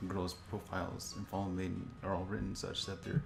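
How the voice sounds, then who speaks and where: A man's voice: low-pitched, monotone, fairly quick, vocal fry. A man reading aloud and bantering casually, goofy and teasing, tripping over names. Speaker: co-host reading wiki entries aloud. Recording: music playing over the voice. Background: video game music.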